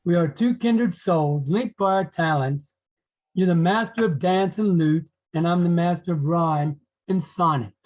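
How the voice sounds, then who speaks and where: Gentle, devoted court poet played by a man. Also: on the high side, measured pace, close to an everyday voice, rough recording. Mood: tender, admiring. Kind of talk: online play reading.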